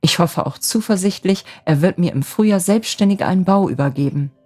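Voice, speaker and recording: A woman's voice: lowish voice, brisk, mellow, close to an everyday voice. A woman voicing an ardent, cheerful young draftsman who woos his sweetheart in an eager, wheedling manner, in a storybook tale. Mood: hopeful, eager.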